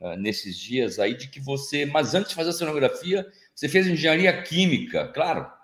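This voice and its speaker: Um homem na casa dos cinquenta, apresentador de podcast, fazendo perguntas informais numa chamada de vídeo. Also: male voice, on the high side, lively intonation, moderate pace, a bit bright. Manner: informal, tags questions with "né" and "hein". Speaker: podcast host. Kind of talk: interview questions. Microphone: video call. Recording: echoey room on a video call.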